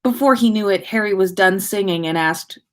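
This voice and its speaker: A woman's voice: medium pitch, normal speed. A woman hosting a livestream, chatting informally and reading stories aloud with expression. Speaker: podcast livestream host reading listener stories. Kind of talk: casual livestream chat and expressive story reading.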